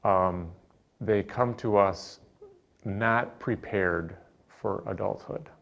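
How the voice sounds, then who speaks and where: A man explaining his work with young people in a measured interview style. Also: fairly low pitch, vocal fry, a bit bright, lo-fi sound. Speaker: youth nonprofit worker.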